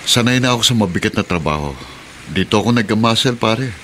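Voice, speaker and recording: A forgiving, stubborn middle-aged Filipino father in a radio play. The voice is deep with a forceful edge, over faint noise. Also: animated, brisk. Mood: steadfast. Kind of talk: Filipino radio drama acting.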